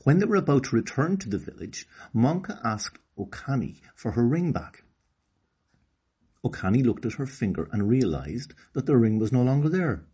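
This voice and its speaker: An Irish man reading a children's story aloud in an expressive, deliberate storybook delivery. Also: mid-range voice, slightly rough. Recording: slightly dull lo-fi recording.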